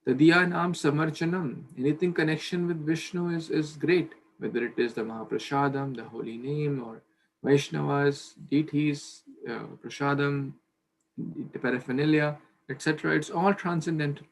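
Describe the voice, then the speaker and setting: A man in his thirties recaps a scripture chapter for an online study group, earnest and a little halting. His voice has a gravelly edge. It comes through a laptop webcam in a small home bedroom, with a faint hiss behind it. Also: a little high, fairly even intonation, rough recording. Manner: earnest, halting with fillers and restarts. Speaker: scripture class leader. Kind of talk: recapping scripture study over video call. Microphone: laptop webcam on video call.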